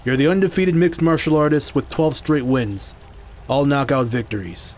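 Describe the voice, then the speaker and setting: A man playing a proud, unyielding, reclusive martial artist in an audio drama, speaking in a stern, blunt, deliberate way. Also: on the high side, a little mellow, a little hiss or hum, lightly acted. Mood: scornful.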